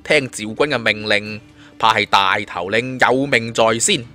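A man's voice: fairly low pitch, a little slow, sharp tone, soft background music. A man voicing a cool, defiant young fighter hero in an audio story, taunting and scornful. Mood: shrewd, taunting.

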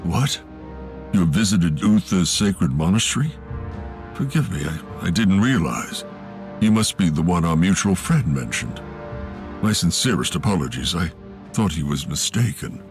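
An actor playing a grave, proud and guarded inquisitor in a video game cutscene. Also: male voice, low-pitched, expressive, measured pace, soft background music. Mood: startled, apologetic. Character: grave male inquisitor, guarded and proud, then humbly apologetic.

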